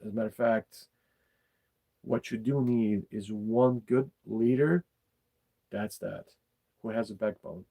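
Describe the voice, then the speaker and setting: Casting: middle-aged man, low creaky voice, halting, blunt, off-the-cuff podcast monologue. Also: fairly even intonation, mellow. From former Yugoslavia. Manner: halting, repeats words, blunt and sweary. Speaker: podcast host. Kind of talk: casual opinionated monologue.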